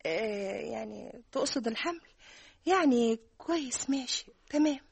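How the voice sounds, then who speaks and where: A hesitant Egyptian wife. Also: female voice, fairly high pitch, lively intonation, normal speed, vocal fry, breathy edge, exaggerated voice. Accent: Egyptian Arabic. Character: hesitant then overjoyed young Egyptian wife. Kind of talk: radio drama acting.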